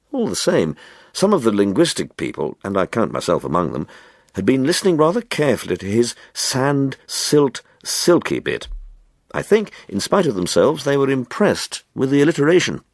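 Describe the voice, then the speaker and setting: A man reading a literary short story as narrator, with measured, expressive, dramatic phrasing. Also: medium pitch, no echo, clean studio sound. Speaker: audiobook reader.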